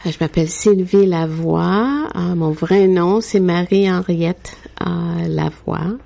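A woman's voice: fairly low pitch, steady tone, vocal fry, warm tone. A woman in her sixties from Quebec, telling her life story as an interview guest with many hesitations. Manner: frequent hesitations with "euh", unhurried.

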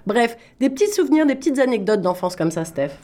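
A woman's voice: fairly high pitch, sing-song, fairly quick, darkish tone. A woman hosting a casual interview, asking friendly questions that prompt her guest to talk. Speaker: host.

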